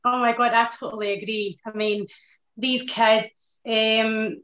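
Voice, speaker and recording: Glaswegian woman on a video call, bright and a bit high, upbeat, kinda muffled lo-fi sound. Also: level tone, unhurried. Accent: Glaswegian Scottish. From Scotland. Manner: upbeat, warm laughter, enthusiastic. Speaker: guest, hair colour director. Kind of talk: casual video-call interview. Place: living room with sofa, indoors. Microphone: video call laptop. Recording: dull lo-fi video-call audio.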